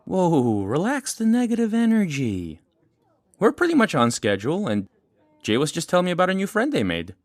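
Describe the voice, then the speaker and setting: Easygoing teen boy, animated delivery. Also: high-pitched, normal speed, no room sound, studio quality, heavily acted. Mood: placating. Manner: casual, teasing. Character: laid-back, teasing high-school boy, friendly peacemaker. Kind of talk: scripted audio drama acting.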